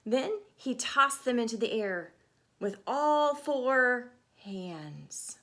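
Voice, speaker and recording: Female storytime teacher, higher-pitched and lively, unhurried delivery, speaks directly to young listeners. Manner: expressive, deliberate, asks listeners questions. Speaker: storytime teacher reading to children. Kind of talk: children's picture-book read-aloud with chatty asides.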